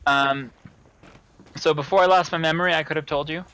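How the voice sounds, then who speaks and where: A man's voice: a little high, steady tone, brisk, bright, forceful tone, clear, resonant tone, rough recording. A young American man chatting casually while playing a game with a friend. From United States. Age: young adult. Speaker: co-player in a gaming video. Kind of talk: casual chat while gaming.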